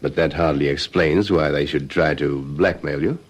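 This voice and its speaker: Deep-voiced male detective, vintage radio crime drama. Formal, methodical, probing interrogation style. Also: fairly even intonation, breathy edge. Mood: pressing. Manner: formal, measured questioning. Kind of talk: old-fashioned radio drama acting.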